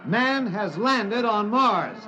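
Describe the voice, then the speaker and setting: A man playing an excited, obsessed radio reporter with an American accent, stammering and emphatic in 1950s radio drama style. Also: high-pitched, slow pace, faint music behind, exaggerated voice. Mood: excited, breathless. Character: excited radio newsman, agitated, obsessed with breaking a cover-up. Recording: dull vintage radio recording.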